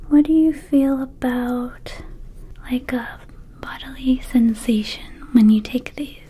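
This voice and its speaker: Lady doing a scatterbrained vampire shop girl, asmr roleplay, high mellow whisper, casual and rambly. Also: slight background noise. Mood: soothing, rambling. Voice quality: soft, breathy whisper. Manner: hesitant, rambling, slow and casual. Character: friendly scatterbrained young female vampire herb dispensary clerk. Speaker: ASMR performer.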